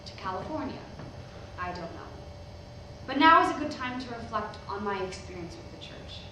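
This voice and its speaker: A woman's voice: medium pitch, close to an everyday voice. Woman playing an earnest teenage preacher's daughter, echoey hall. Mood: hesitant, reflective. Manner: halting, hesitant public address with rhetorical questions. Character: earnest eighteen-year-old preacher's daughter, nervous but defiant. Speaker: stage actor in a staged reading. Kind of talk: sermon in a staged play reading. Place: indoor hall, recorded from the audience. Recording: very echoey hall, distant microphone, noisy.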